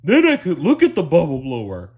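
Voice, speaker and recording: A man voicing a dim, eager, good-natured cartoon starfish, loud and simple-minded, with a husky voice. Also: on the high side, wildly swooping pitch, a little fast, dull audio, pitched above the performer's own voice, full character voice. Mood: pleading.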